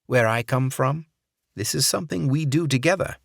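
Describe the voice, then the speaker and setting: A man voicing an undercover businessman who puts on a fake English accent, smooth and nervously ingratiating. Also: mid-range voice, normal speed, fairly bright tone, dry recording, studio quality, pitched above the performer's own voice.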